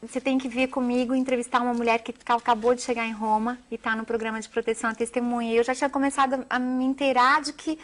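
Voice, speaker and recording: High-voiced Brazilian woman in interview. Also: little pitch movement, quick pace, hardly any pauses. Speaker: guest, journalist and author. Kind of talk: television interview, animated storytelling.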